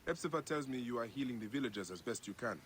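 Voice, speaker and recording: A man playing an earnest, protective ancient Egyptian warrior in a video game cutscene, speaking in a measured, resolute way. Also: medium pitch, lively intonation, a bit bright, slight background noise, close to an everyday voice. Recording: game music behind the voice.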